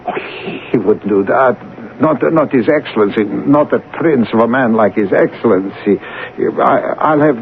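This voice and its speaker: An actor playing a meek, downtrodden clerk who stammers deferentially in a 1970s radio drama. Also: male voice, medium pitch, a little slow, creaky. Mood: anxious, pleading. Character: meek, timid, downtrodden male clerk, loyal and anxious. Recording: tinny, noisy old radio recording.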